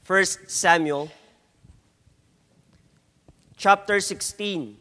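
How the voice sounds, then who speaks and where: A man from the Philippines with a strong Filipino accent, preaching a slow, emphatic sermon as a guest preacher and reading scripture. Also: high-pitched, sharp tone, big-room sound. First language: not English.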